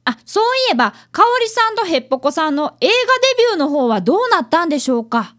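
A woman's voice: high-pitched, sing-song, fast, a bit bright. A woman playing a polite, earnest primary-school boy who is an apprentice detective and narrator in a radio drama. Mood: earnest narrating.

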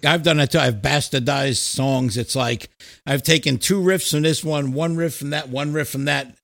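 Higher-voiced man, blunt casual podcast host. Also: moderate pace, vocal fry, fairly bright tone, dry recording, studio quality. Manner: informal, blunt, sweary. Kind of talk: casual music chat.

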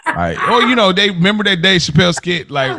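A man's voice: high voice, animated, fairly quick, pushed and bright. Laid-back man, casual podcast co-host. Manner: laid-back, laughs often, restarts sentences. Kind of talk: casual chat.

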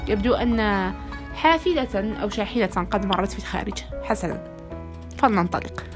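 A woman hosting a podcast, addressing listeners in formal Arabic with a measured, rhetorical delivery and a slightly hoarse voice. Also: medium pitch, expressive, music in the background. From Algeria. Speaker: podcast host.

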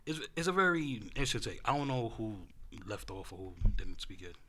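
A man in his late twenties hosting a casual group discussion, talking in an animated, slangy way. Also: mid-range voice, brisk, a little hiss or hum. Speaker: podcast host moderating a panel.

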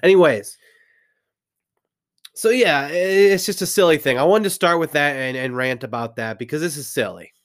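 A man's voice: on the high side, normal speed, no echo, studio quality. Stuffy-nosed man ranting about football. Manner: opinionated, rambling, frequent restarts. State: stuffy from a cold. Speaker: sports commentator. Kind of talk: ranting sports recap.

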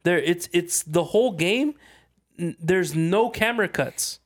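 A man's voice: high voice, expressive, a little slow. A man talking casually as a podcast co-host, often repeating phrases for emphasis. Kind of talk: casual chat about video games.

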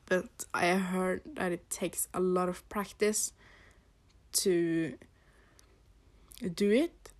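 A young woman from Norway with a Norwegian accent, hosting a casual podcast, rambling in a halting way with fillers and soft laughs. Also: on the low side, fairly even intonation. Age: teens or young adult. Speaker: podcast host.

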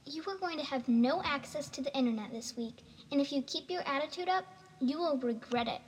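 A stern, practical mother in a homemade radio play acted by a high female-sounding voice. She speaks in a high pitch, with long reverb and noise around her. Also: lively intonation, brisk. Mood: stern, disappointed. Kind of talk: amateur radio drama acting.

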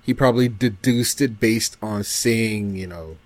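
A man's voice: fairly low pitch, a little slow, no echo. A man chatting casually as a panelist in a podcast discussion. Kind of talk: casual chat.

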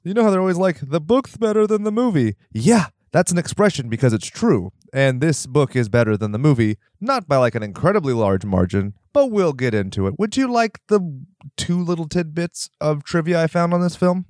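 A man's voice: fairly high pitch, very animated, a little mellow, no room sound, studio quality. Jokey man hosting a podcast. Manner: jokey, playful, quick asides. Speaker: podcast host. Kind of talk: casual podcast chat.

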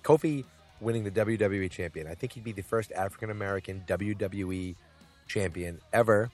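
A man's voice: on the low side, fairly quick, darkish tone, faint music behind. A man hosting his own podcast, rambling through picks with jokes, asides and lots of filler words. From New England. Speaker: podcast host. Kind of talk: casual solo wrestling podcast. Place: Boston.

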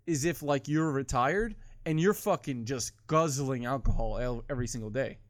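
A man's voice: mid-range voice, moderate pace, dry recording, studio quality. A man in his twenties arguing bluntly and profanely in casual banter with friends. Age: 20s.

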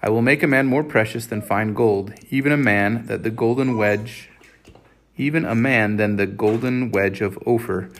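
A man's voice: on the low side, moderate pace. Man reading scripture aloud. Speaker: reader leading a family scripture reading. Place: home recording with family.

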